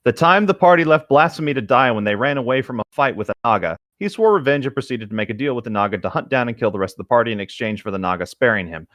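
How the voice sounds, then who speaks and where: A man's voice: medium pitch, lively intonation, quick pace, few pauses, fairly bright tone, no echo, clean studio sound. A man casually reading a tabletop gaming story aloud as a host, in an informal, slightly exasperated way.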